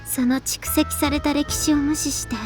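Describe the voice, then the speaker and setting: A young woman playing an earnest, gently cautioning teenage schoolgirl in a Japanese visual novel. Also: high voice, level tone, fast talker, over music, close to an everyday voice. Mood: grave warning.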